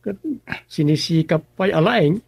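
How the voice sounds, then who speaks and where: A man's voice: fairly high pitch, unhurried, creaky, breathy edge, dry recording, exaggerated voice. An actor playing a dreamy, dozy village councilman in a rural comedy radio drama. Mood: earnest.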